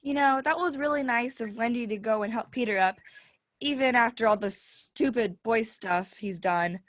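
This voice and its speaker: A woman's voice: a little high, moderate pace, dry recording, clean studio sound, close to an everyday voice. A woman playing Red, a playful girl who teases and makes puns, in an amateur stage play. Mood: teasing. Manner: teasing, giggly, joking. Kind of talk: amateur theatre acting.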